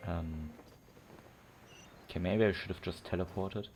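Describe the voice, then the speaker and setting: A man's voice: sits low, steady tone, measured pace. A man commenting casually on his gameplay in a rambling, laid-back, amused way, full of fillers. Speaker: gamer. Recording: voice over loud game music.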